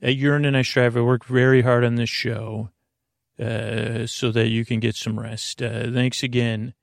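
A man hosting a bedtime podcast with a meandering, halting, goofy and friendly monologue in a gravelly voice. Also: mid-range voice, level tone, no room sound. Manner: meandering, halting, trails off mid-word. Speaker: podcast host. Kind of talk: rambling, unscripted bedtime monologue.

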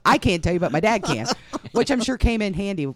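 A man's voice: high voice, sing-song, brisk, a loud crowd. Wry man cracking crude jokes. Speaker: podcast co-host. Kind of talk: casual comedic banter.

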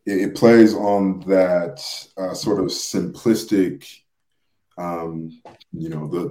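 A man with a deep voice speaks in a casual podcast discussion, in a roomy, echoey space. He explains in a halting, hesitant way and circles back over his phrases. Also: darkish tone.

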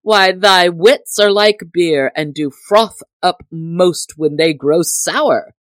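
A woman's voice: on the low side, slow, no room sound. A woman voicing a jolly, teasing young male outlaw in hearty, archaic storybook speech. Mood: jovial.

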